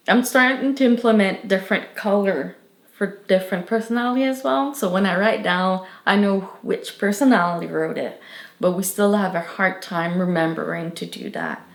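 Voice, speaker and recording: Gravelly French-accented woman, echoey room. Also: on the low side, moderate pace. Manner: earnest, thoughtful, with many fillers and restarts. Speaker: interviewee. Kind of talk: candid interview answers.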